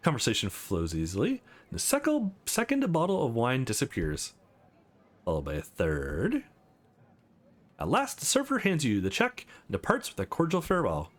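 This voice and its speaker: A man's voice: mid-range voice, wildly swooping pitch, normal speed. A man who is not a native English speaker, reading a visual novel aloud as a let's play host.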